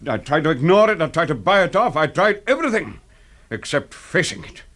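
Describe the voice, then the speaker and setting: A man's voice: a little high, sing-song, brisk, a bit bright. An actor playing a dignified older father, formal and weary with biting sarcasm, in a radio drama. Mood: stern, bitter. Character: bitter, dignified older father finally asserting himself.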